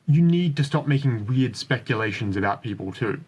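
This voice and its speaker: Male video commentator, medium pitch, critical and articulate scripted delivery. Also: lively intonation, fairly quick, husky. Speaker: video creator.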